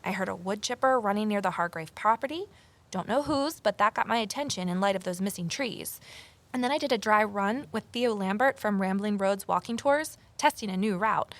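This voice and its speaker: An actress playing an inquisitive amateur sleuth woman in an audio drama, expressive and pointed in her delivery. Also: medium pitch, brisk, dry recording, natural voice. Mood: curious, suspicious. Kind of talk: full-cast audio drama acting, narration and dialogue.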